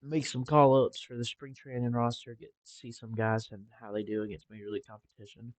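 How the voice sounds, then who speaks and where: A young man talking casually over a game with a congested, stuffy nose and a relaxed, rambling manner. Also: medium pitch, brisk, no room sound. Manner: relaxed, rambling with filler ums. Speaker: gaming channel host. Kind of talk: casual gameplay commentary.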